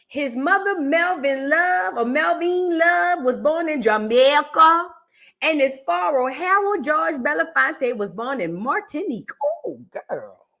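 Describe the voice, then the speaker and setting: A woman hosts a casual reaction stream, talking loudly and with emphasis, repeating her punchlines and calling on viewers by name. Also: high-pitched. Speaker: livestream host reacting to history content.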